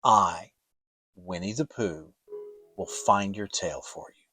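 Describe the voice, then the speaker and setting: A man's voice: low voice, slow pace, pushed and bright, soft background music, pitched below the performer's own voice, full character voice. A man voicing a kindly, earnest bear in a children's storybook, simple and helpful, speaking with emphasis. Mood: solemn. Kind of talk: dramatic children's audiobook reading.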